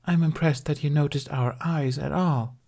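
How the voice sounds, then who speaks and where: Man putting on a high, sly mermaid woman. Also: moderate pace, creaky, darkish tone. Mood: sly, teasing. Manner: purring, teasing, coy.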